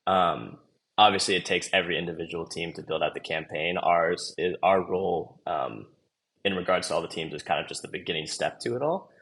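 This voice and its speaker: A man's voice: low-pitched, fairly quick, a bit bright. A young man from Los Angeles, a podcast guest talking in a loose, easygoing, chatty way. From Los Angeles, USA. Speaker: podcast guest, marketing manager. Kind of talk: casual interview chat.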